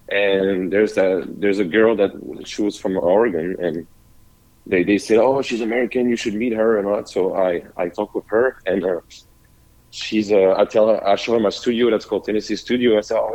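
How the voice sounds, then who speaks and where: A man's voice: lowish voice, darkish tone, rough recording. French man, hesitant guest. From France. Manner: hesitant, many "uh"s and restarts. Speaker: restaurant owner, guest. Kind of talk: casual interview.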